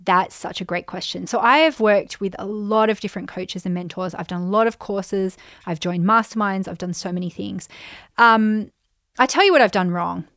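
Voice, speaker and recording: Chatty Australian woman with a croaky voice. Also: mid-range voice, normal speed, no room sound, clean studio sound. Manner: chatty, animated, frequent "um" and "like". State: voice getting croaky. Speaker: podcast host, business coach. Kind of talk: casual solo podcast, answering listener questions.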